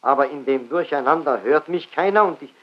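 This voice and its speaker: A man's voice: a little high, a little fast, lo-fi sound, natural voice. An actor playing a hapless, naive army private telling his woes in a folksy way on an archival 1940s satirical radio broadcast. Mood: agitated. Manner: folksy, mock-naive storytelling.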